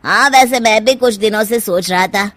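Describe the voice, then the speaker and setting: A woman's voice: mid-range voice, normal speed, a bit bright, no echo, close to an everyday voice. A mild, henpecked young husband from a cartoon family tale, played by a woman. He sounds hesitant and placating, in a dry recording.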